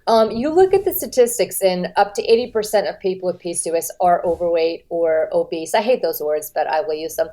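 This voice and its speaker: A middle-aged American woman, a dietitian being interviewed, explaining in an animated, emphatic way with lively swings of pitch. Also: a little fast, hardly any pauses. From United States. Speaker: registered dietitian, guest. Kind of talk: conversational podcast interview.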